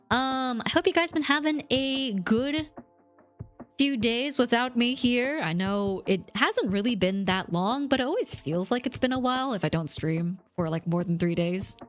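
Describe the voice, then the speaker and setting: Chatty streamer woman, slightly rough voice. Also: a little high, fairly bright tone. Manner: chatty, rambling, reads chat and thanks subscribers. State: slightly rough voice from healing cough. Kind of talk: casual livestream chat.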